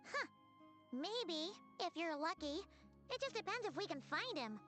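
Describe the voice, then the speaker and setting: A woman voicing a small, childlike fairy companion in a video game, excitable and nosy, with a squeaky voice. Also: measured pace, soft background music, heavily acted. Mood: doubtful, sheepish. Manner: exclamatory, refers to self in third person. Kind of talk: video game cartoon voice acting.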